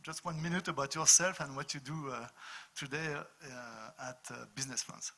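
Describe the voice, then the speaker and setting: Bright-voiced male panel moderator. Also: medium pitch, steady tone, normal speed. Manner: hesitant, many fillers and restarts. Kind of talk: moderating an open workshop discussion.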